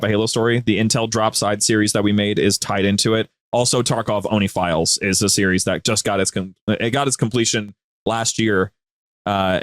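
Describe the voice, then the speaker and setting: A young man chatting casually as a podcast guest, telling his anecdotes in an animated, slangy way. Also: fairly low pitch, no room sound. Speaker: podcast guest, audio drama creator. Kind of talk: casual interview chat.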